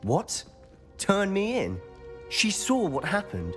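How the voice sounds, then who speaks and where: Impulsive teen wizard boy, highish voice, very varied pitch, emotional, pleading, game drama. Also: huge pitch swings, slow pace, faint music behind, full character voice. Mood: defensive, shocked. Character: anguished, impulsive teenage schoolboy wizard. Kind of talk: dramatic video game dialogue.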